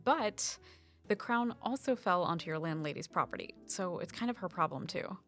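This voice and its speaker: A woman's voice: fairly low pitch, lively intonation, moderate pace, a bit bright, faint music behind. An actress playing a knowledgeable woman conservation officer and sleuthing sidekick in a naturalistic audio drama, informative and wry.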